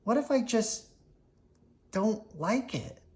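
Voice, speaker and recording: Little girl bear cub acted by a woman, storybook character, raised pitch, eager, childlike, expressive. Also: slow. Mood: anxious, questioning.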